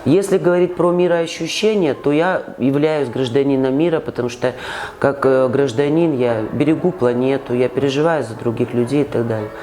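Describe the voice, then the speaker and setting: A man's voice: medium pitch, fairly quick, mellow. A man answering a street interview, reasoning analytically with slight hesitations. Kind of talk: reasoned vox-pop answer.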